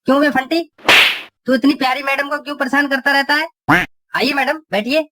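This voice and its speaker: A woman's voice: high voice, steady tone, fairly quick, sharp tone, a little hiss or hum. A woman voicing a grumbling, flirtatious husband and father in a cartoon comedy. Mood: scolding. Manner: bickering at family, smooth-talking.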